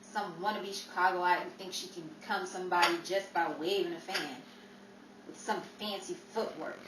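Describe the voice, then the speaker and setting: A woman's voice: fairly low pitch, fairly even intonation, moderate pace, lightly acted. A woman acting a jealous, combative veteran dance-hall girl, sneering and slangy, in 1930s radio drama style. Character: jealous, catty veteran dime-a-dance girl, quick to fight. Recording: echoey, distant mic with faint noise.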